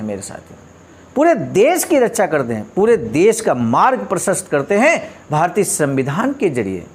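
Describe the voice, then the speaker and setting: Male speaker, high register, very lively intonation. Instructive motivational delivery: reads quotes, explains them, drives points home through repetition. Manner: emphatic, didactic, rhetorical questions, repeats points for stress. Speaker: speaker explaining a leader's speech.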